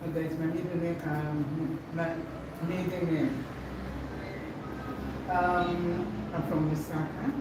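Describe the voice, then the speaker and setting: An older woman with a Zambian accent giving a halting, impromptu introduction of herself as a course participant. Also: lowish voice, little pitch movement. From Zambia, born in Malawi. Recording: echoey room, distant mic, loud noise.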